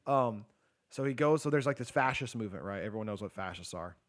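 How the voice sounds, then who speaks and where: A man's voice: lively intonation, fast. A young man co-hosting a casual podcast, rambling through a story with lots of "like" and swearing, a bit short of breath. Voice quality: self-described low, quiet voice. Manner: rambling retelling, heavy filler "like", swearing. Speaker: podcast co-host. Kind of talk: casual chat.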